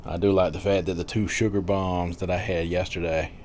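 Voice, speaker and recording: A man's voice: sits low, level tone, brisk, a little mellow, a little hiss or hum. A man in his fifties chatting casually in a rambling vlog, full of fillers and little laughs. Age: 50s. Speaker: vlogger.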